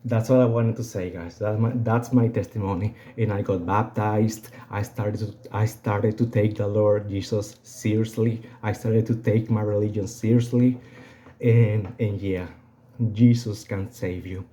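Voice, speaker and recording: A young man with a Venezuelan accent and native Spanish, giving an unscripted personal testimony in a halting way, often repeating phrases. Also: lowish voice, steady tone, mellow. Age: young adult.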